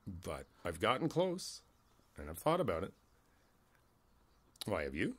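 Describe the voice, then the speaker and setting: A man playing a kindly older neighbor in an ASMR roleplay, reflective and candid, talking in a halting, conversational way and repeating his phrases. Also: low voice, huge pitch swings, fairly bright tone, slightly rough, heavily acted. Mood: somber, candid.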